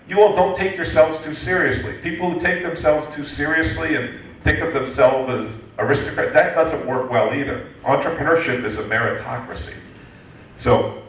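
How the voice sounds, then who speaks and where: A man in his fifties from the United States answers an audience question in a lively, joking way, often restarting his sentences. He is recorded in a hall with heavy echo. He is heard from a distance, with faint background noise. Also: mid-range voice. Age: 50s. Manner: animated, joking, frequent restarts. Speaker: guest speaker, entrepreneurship lecturer. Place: lecture hall. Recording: echoey hall from far off.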